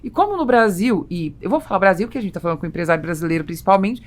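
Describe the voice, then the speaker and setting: A woman's voice: medium pitch, animated, racing. A Brazilian woman hosting a business podcast, explaining her opinions in a conversational way. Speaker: podcast host and interviewer.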